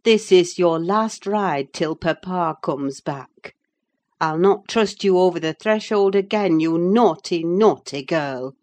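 A woman's voice: medium pitch, lively intonation, a little slow, darkish tone, voice pushed up. A woman playing a stern middle-aged housekeeper who scolds a runaway girl. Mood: scolding. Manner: scolding, firm.

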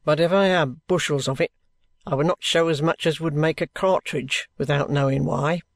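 Wary trooper, acted by a man: grave, pondering, somewhat high male voice, dry recording. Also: steady tone, normal speed, vocal fry, dry recording. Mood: guarded, stiff.

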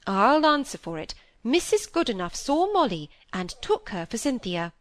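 A woman's voice: on the high side, very animated, moderate pace, fairly bright tone, no echo, voice pushed up. Woman acting a stern, emphatic older spinster sister. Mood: sure, sententious. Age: middle-aged or older. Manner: emphatic, sententious, pettish.

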